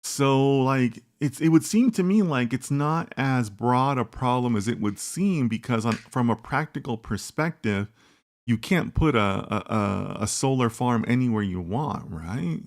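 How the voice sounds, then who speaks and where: A man's voice: mid-range voice, unhurried. A man hosting a casual data science livestream, thinking aloud in a rambling, conversational way and tagging his points with "right?". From United States. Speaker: host of a live data science chat. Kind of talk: casual livestream chat reading viewer comments.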